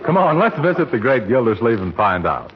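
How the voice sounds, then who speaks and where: A man's voice: medium pitch, very animated, brisk, loud music behind the voice. A man announcing and selling in a polished, emphatic 1940s scripted radio advertising style. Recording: muffled, noisy old radio recording.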